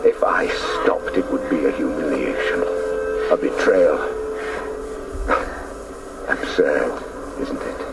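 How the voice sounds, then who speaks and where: An actor playing a reflective grown man who recalls his boyhood in lyrical, intense radio play narration, at times hushed. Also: rumbling low, takes it slowly, long pauses, very noisy. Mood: intense, awed.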